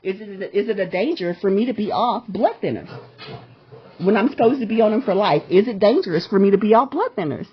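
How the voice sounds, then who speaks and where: A woman's voice: mid-range voice, expressive, brisk. A woman speaking as a patient on a phone call, pressing her questions in an insistent, demanding, confrontational way and often repeating them. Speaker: patient calling a medical provider. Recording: muffled lo-fi phone call recording.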